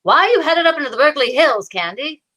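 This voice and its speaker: A woman's voice: high voice, expressive, quick pace, bright, forceful tone, voice pushed up. A woman playing a genteel, fussy detective's sidekick with an arch manner in an amateur old-time radio play. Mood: arch, pleased.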